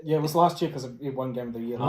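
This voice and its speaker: A man's voice: medium pitch, very fast, background noise. A man co-hosting a podcast with playful, mock-grand casual banter. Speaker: podcast co-host. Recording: roomy, echoing, chattering background.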